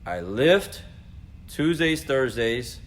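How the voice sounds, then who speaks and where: A man's voice: medium pitch, big pitch swings, very slow. An American man in his forties giving a casual motivational talk as a coach, conversational and earnest, speaking directly to his listeners. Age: 40s. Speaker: jiu-jitsu coach and vlog host. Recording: clearly noisy background ambience.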